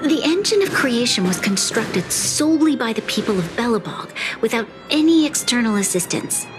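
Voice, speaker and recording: A woman playing an earnest, resolute young female leader in a video game cutscene, speaking in a measured, formal way. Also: on the high side, sing-song. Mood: solemn, proud. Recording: loud game music behind the voice. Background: music.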